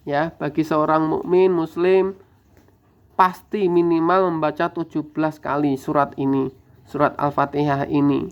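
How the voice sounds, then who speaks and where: Slow-spoken man teaching recitation. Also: a little high, level tone, clean tone. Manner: slow drawn-out recitation, then quick explaining; addresses viewers as friends. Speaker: religious teacher. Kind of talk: explaining a scripture word by word. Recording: dull lo-fi recording.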